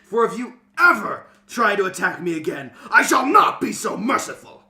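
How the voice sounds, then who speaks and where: A performer voicing a merciless, boastful villain warrior in a fantasy story, with booming theatrical shouts. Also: big pitch swings, normal speed, echoey room, exaggerated voice. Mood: menacing.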